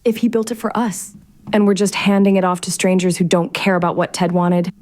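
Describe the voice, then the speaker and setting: A woman's voice: lowish voice, fairly even intonation, fairly quick, a little mellow, lightly acted. An actress playing a sardonic, curious young woman in a fiction podcast drama, thinking aloud to herself in a naturalistic, exasperated way. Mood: torn, debating. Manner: wry, sarcastic, exasperated muttering to herself. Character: sardonic, curious young woman investigating her late relative's mysterious house. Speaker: lead actor in an audio drama.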